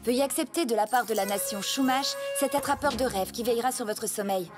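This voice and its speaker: Woman as a high-voiced spirited cartoon girl. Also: fairly quick, over music, natural voice. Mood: earnest, pleading. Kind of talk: dubbed animated adventure acting.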